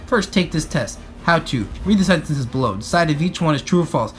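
A man reading a children's picture book aloud in an animated, expressive way. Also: on the high side, brisk. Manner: expressive, acts out captions and speech bubbles.

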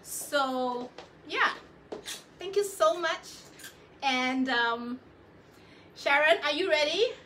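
A woman with a high, bright voice hosts a live shopping show. She chats effusively and coaxes her audience along, with some noise in the recording. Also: lively intonation, very slow, pauses often. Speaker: host of a fashion live show. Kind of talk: live sales presenting, chatty.

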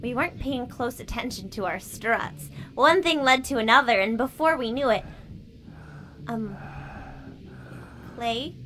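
Woman playing a bright, teasing young mole. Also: fairly high pitch, expressive, a little slow, pauses often, a little hiss or hum, rough recording. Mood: teasing, frank. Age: young adult. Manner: dry, teasing, blunt. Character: bold, teasing, exasperated young female mole. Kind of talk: comedic audio drama acting.

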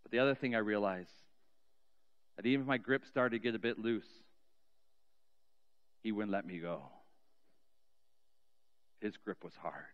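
A middle-aged man preaching as a pastor in a church sanctuary, telling a story earnestly and slowing down with soft, trailing endings. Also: fairly low pitch, clear, resonant tone, dull audio. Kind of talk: sermon storytelling and spoken prayer. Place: large church sanctuary, indoors. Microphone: cheek headset mic.

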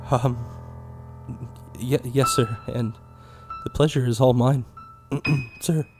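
A man's voice: medium pitch, fairly even intonation, slow, close to an everyday voice. Guy acting as a haunted earnest young dude, kinda hesitant, mid voice, radio play with music. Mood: uneasy, hesitant. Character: earnest, uneasy young man haunted by a friend. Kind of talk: audio drama acting. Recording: music behind.

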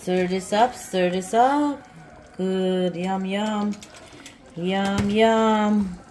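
A woman's voice: mid-range voice, very slow, darkish tone, roomy sound, slight background noise. A middle-aged woman chatting casually as a food vlog host, often repeating herself as she exclaims over the food. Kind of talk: casual cooking vlog chat. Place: home kitchen, indoors.

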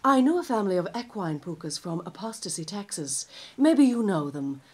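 A melodramatic, mysterious femme fatale. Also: female voice, medium pitch, very animated, normal speed, slightly rough. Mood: coy, amused. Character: mysterious, theatrical femme fatale client, sly and dramatic. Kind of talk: comic detective noir radio drama acting.

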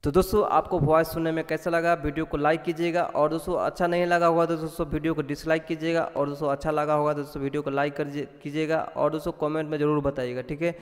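A man's voice: on the high side, flat delivery, fast talker, hardly any pauses. A man from India hosting a casual voice-over for his channel, repeatedly addressing viewers as friends, with long reverb on his voice. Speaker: tutorial channel host. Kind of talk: casual screen-recording voice-over.

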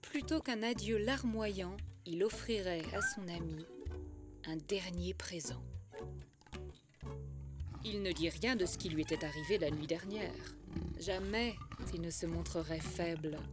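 The woman you would hear reading a solemn, lyrical fable in an animated short, low and unhurried, each line measured out. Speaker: narrator. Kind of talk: poetic storybook narration of an animated short. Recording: music under the voice. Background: music.

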